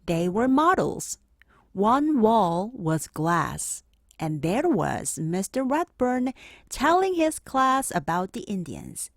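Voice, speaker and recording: A woman narrating a children's picture book aloud in an expressive, clearly phrased storytelling manner. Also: mid-range voice, takes it slowly, no room sound, clean studio sound.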